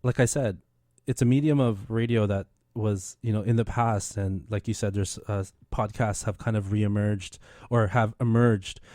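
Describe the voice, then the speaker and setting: A man's voice: on the low side, steady tone, moderate pace, hardly any pauses, dark tone, no room sound, studio quality. A man hosting a casual radio interview in an enthusiastic, encouraging way. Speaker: radio show host.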